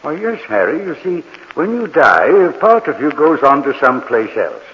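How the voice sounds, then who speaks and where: An eccentric old morgue keeper in a 1940s radio mystery. His highish voice rises and falls freely, soothing and earnest as he rambles, ringing in a long reverb on old radio. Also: big pitch swings, normal speed, a little hiss or hum. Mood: mild, friendly. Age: older. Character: gentle, eccentric old morgue keeper who talks with the dead. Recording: dull vintage radio recording, long reverb.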